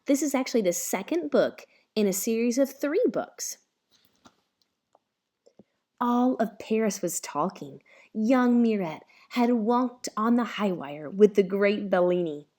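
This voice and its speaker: Slow, expressive woman reading a storybook. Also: medium pitch. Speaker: storybook reader. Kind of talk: read-aloud narration of a children's picture book.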